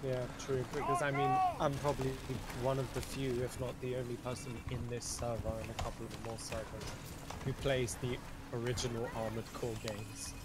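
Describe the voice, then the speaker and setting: A young Englishman talks loosely over a game stream, cursing freely and poking fun at himself. His voice sits at an ordinary middle pitch. Heavy noise hisses loudly under everything he says. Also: level tone, moderate pace. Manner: swearing, rambling, self-mocking. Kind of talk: casual voice chat while gaming. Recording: loud background noise.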